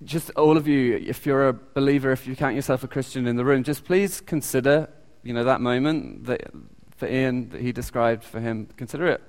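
Halting British man preaching in a church. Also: mid-range voice, darkish tone, clean tone. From United Kingdom. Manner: halting, many ums and restarts. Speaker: lay preacher. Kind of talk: informal sermon with audience questions. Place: church hall. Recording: long echoey church reverb.

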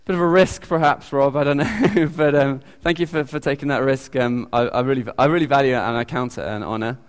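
A man with a British accent giving an informal sermon. He talks haltingly, with many ums and restarts. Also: medium pitch, steady tone, smooth. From United Kingdom. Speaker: lay preacher. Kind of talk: informal sermon with audience questions. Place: church hall. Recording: long echoey church reverb.

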